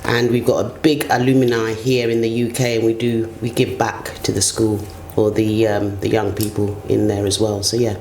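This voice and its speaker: A woman's voice: rumbling low, little pitch movement, moderate pace, few pauses, darkish tone. Middle-aged woman, reflective interviewee, roomy sound with slight background noise. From Ghana and the UK. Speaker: interview guest, senior HR executive. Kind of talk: conversational interview answers.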